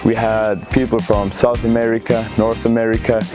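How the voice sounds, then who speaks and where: A man's voice: lowish voice, flat delivery, normal speed. A young man from Finland giving a testimonial as an exchange student. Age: young adult. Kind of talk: interview testimonial. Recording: music playing behind the voice. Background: music.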